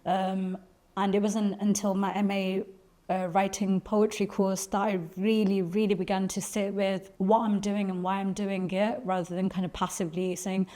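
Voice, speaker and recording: A woman poet, answering interview questions thoughtfully and pausing often to find her words. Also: medium pitch, little pitch movement, dark tone. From Birmingham, England. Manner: thoughtful, searching for words with frequent ums and restarts.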